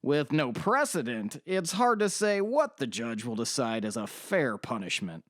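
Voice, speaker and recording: A man's voice: medium pitch, very animated, a little slow, a bit bright, no room sound, clean studio sound. A man playing a formal, polite, slightly hesitant middle-aged defense lawyer in an audio drama. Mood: formal, earnest.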